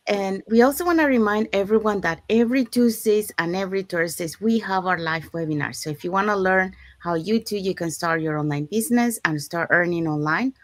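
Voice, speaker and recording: A woman's voice: mid-range voice, no echo. Woman, from Panama, co-host, explanatory, dry close sound. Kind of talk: live-stream promo chat.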